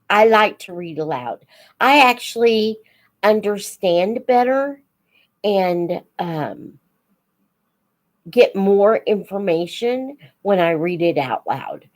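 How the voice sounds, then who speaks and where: A woman hosting a casual live chat, unhurried and thinking aloud as she talks to her viewers. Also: medium pitch. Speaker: host of a live book Q&A, teacher-like reader.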